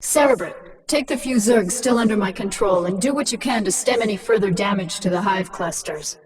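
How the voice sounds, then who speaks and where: A woman's voice: mid-range voice, moderate pace, distant mic, a loud crowd, natural voice. Actress as sardonic alien swarm queen, female, coolly menacing, commanding, game dialogue, set back from mic, busy background voices. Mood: cold command. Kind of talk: scripted video game voice acting.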